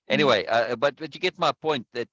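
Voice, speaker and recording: A man speaks close into a boom mic during a casual video-call discussion, with a loud, dry, present sound. He lays out his point at length, circles back to it, and keeps asking his listener whether she follows. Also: slightly rough, no echo. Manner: explains at length with repeated check-ins like "right?" and "yes?". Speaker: discussion leader.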